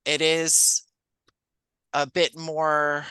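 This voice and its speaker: A man talks conversationally as an interview guest, in wordy but articulate sentences. His voice is bright and smooth, with a resonant clarity. The sound is dry, with very little room in it. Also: a little high, monotone, very slow, no echo. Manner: wordy, articulate, self-deprecating asides. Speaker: podcast guest, audio drama writer and producer; professional actor.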